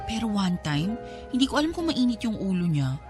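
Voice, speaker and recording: A woman's voice: mid-range voice, a little fast, music in the background, lightly acted. A woman with a Filipino accent acting a smitten, cheeky young photography student who gets flustered in a radio drama. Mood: giddy, smitten. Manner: expressive, stammering when flustered.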